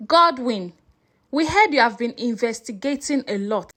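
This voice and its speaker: Woman voicing a stern, high-pitched intimidating uncle. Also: animated, normal speed, fairly bright tone. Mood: accusing, threatening. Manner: stern, accusing. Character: hard, intimidating uncle of the wife.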